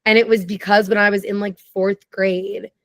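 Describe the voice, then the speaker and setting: Young woman, raspy low voice, vocal fry, chatty, eager, mid pitch, dry close sound. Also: flat delivery, no echo. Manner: chatty and enthusiastic, quick tangents. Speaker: podcast guest. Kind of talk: casual chat.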